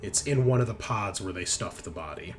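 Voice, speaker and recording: A man's voice: sits low, lively intonation, fairly quick. A man improvising narration as game master of a tabletop roleplaying game, recorded with faint noise behind him.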